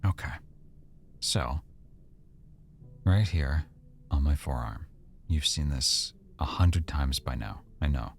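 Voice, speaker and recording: Very deep, gravelly boyfriend voice acted by a man. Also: expressive, slight background noise, full character voice. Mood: fond, intimate. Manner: soft-spoken, casual, hesitant pauses and trailing thoughts. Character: affectionate, easygoing boyfriend, reflective and intimate. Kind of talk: boyfriend roleplay ASMR, one-sided intimate conversation.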